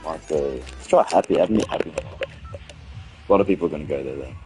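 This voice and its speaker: A young man with a hoarse, sick-sounding voice gives rambling, swearing commentary as he streams a game and chats with viewers. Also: rumbling low, quick pace, mellow, soft background music, rough recording. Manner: rambling, swearing, frequent restarts. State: hoarse from a cold. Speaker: gaming streamer. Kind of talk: casual livestream gameplay commentary.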